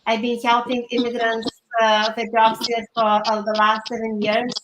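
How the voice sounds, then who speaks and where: Echoey, high-voiced Lithuanian woman, earnest. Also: completely flat delivery, moderate pace, highs cut off. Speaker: interview guest, career coach. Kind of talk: conversational interview answers. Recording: echoey room with background noise.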